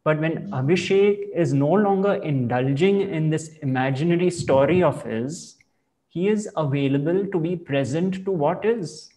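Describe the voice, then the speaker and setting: A man speaking as a spiritual teacher, explaining ideas in slow, measured and reflective phrasing. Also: a little high, warm tone, low-quality recording. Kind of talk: calm explanatory spiritual talk.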